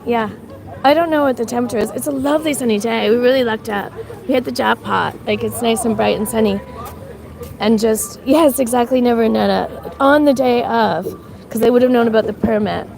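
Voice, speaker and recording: A woman's voice: on the high side, brisk, vocal fry. Chatty woman livestreaming on a city street. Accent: mixed Canadian and Irish. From Canada. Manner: chatty, thanks viewers, rambling with fillers. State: shivering from cold. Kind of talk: casual live stream commentary. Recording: outdoor street recording with crowd.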